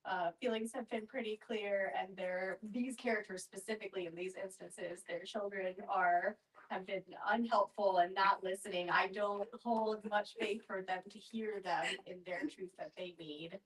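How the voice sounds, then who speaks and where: A woman's voice: medium pitch, fairly even intonation, normal speed. A woman giving her opinion as a participant in a casual group discussion. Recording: voice distant from the microphone.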